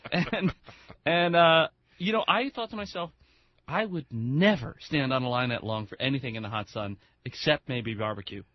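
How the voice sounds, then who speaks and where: A man's voice: mid-range voice, normal speed, no room sound, dull audio. A man co-hosting a radio show, chatting casually and enthusiastically, rambling with many restarts.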